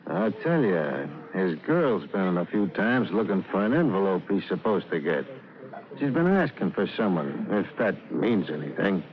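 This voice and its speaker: A wary, laconic barkeep in an old radio drama. His deep voice moves widely in pitch. The vintage recording sounds muffled. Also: very animated, normal speed. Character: laconic, wary male bartender. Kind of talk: 1950s radio drama acting.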